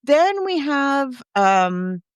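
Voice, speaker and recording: A woman co-hosting a casual book discussion, recapping a story in an animated, chatty way with amused asides. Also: sits high, extremely slow, no echo. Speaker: podcast co-host.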